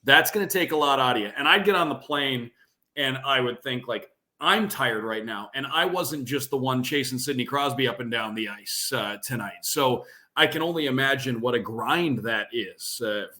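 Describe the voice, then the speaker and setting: A man's voice: medium pitch, normal speed, bright, forceful tone. A man who works as a play-by-play broadcaster, giving fluent, emphatic sports analysis as an interview guest and building points through repetition.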